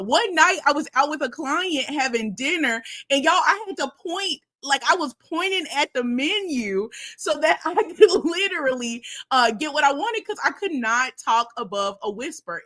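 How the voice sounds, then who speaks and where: A woman hosting a live stream show, talking casually to her viewers in a chatty, animated way. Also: high voice. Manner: chatty and animated, addresses viewers as y'all and honey.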